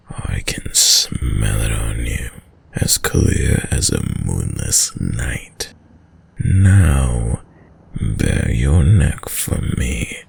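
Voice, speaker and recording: A man playing a sadistic, dominant vampire in an intimate audio roleplay, speaking in a commanding, silkily menacing and condescendingly tender way, deep and breathy. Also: heavily acted. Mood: predatory, seductive. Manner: slow, commanding, condescendingly tender, often dropping to whispers. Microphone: close, dry studio mic.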